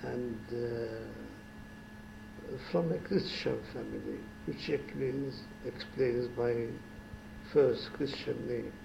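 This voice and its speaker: A man in his seventies from Syria, a former ambassador giving an oral history interview at home, talking slowly and reflectively with pauses and restarts. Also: medium pitch, creaky, echoey room, muffled recording, noisy background. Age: 70s.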